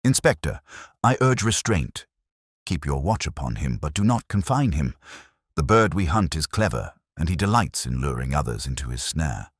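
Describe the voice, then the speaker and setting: A man voicing a coolly analytical, self-assured detective in a storybook mystery, speaking precisely and deliberately. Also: extremely low pitch, very animated, husky, no room sound, clean studio sound, exaggerated voice. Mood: probing, restrained.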